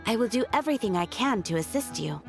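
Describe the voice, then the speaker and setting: High-voiced receptionist automaton. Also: female voice, expressive, fast talker, gravelly. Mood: welcoming. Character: courteous female receptionist automaton, serviceable and formulaic. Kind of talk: scripted game greeting. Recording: music behind the voice.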